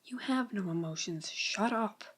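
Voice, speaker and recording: A woman's voice: medium pitch, expressive, measured pace, slightly rough. A woman playing an anxious, sarcastic teenage boy who narrates his own story in an amateur comic dub. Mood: tense, annoyed. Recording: amateur old-device recording, music behind. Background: video game music.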